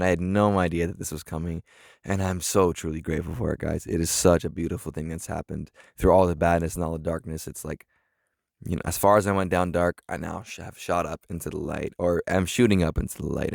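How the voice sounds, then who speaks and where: A man in his twenties talking earnestly to camera in a casual vlog, halting often with fillers and restarts. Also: sits low, vocal fry, no room sound, clean studio sound. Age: 20s. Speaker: vlogger.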